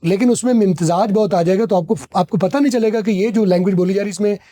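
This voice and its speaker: A man's voice: high voice, fast, mellow. A middle-aged man with a Pakistani accent, an English teacher explaining at length and giving advice in a podcast discussion. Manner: authoritative, advising, explains at length in long sentences.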